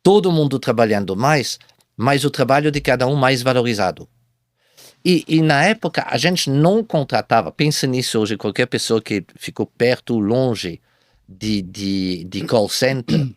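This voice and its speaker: A man speaking Portuguese as a second language, a guest telling his business story in a halting way, with many repeated false starts. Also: mid-range voice, lively intonation, no room sound, studio quality. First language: not Portuguese. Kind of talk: casual interview storytelling.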